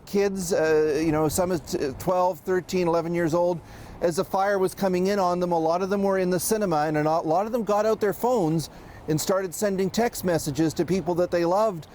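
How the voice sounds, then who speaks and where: A man with a notably high voice reports live as a news correspondent. He speaks off the cuff and haltingly, with many hesitations and restarts. Faint noise sits behind him. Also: darkish tone.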